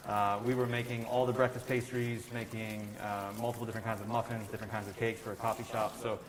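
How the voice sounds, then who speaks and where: A man's voice: on the low side, flat delivery, fast. A middle-aged American man from Kentucky, a chef talking casually and telling stories as a guest. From Kentucky, United States. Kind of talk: casual conversational storytelling. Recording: roomy, echoey room with faint noise.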